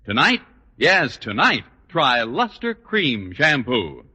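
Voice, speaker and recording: Animated American male radio announcer, very bright. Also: medium pitch, slow, breathy edge. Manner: emphatic, polished salesman delivery. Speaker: commercial announcer. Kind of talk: 1940s radio advertising read. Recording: dull, reverberant vintage radio broadcast.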